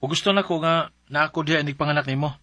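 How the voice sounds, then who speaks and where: A man's voice: a little high, racing, sharp tone, no echo. An actor playing an earnest, dutiful man in his thirties with a Cebuano accent in a radio drama. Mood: earnest, responsible. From Philippines. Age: 30s. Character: earnest, dutiful young Cebuano man, troubled letter-writer. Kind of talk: Philippine radio drama acting with letter narration.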